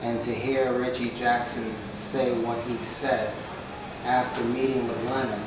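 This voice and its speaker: Echoey, even-toned middle-aged American man. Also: medium pitch, a little slow, far from the mic. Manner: earnest, emphatic, checks listener understands. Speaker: interview guest, author and sports executive. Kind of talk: conversational interview. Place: glass-fronted stadium suite, indoors. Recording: echoey, noisy, off-mic camera recording.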